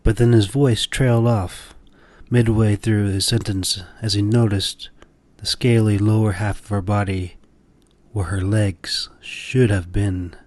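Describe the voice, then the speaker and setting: A man narrating a fantasy audiobook in a dramatic, expressive, deliberate storytelling style. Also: fairly low pitch, dry recording.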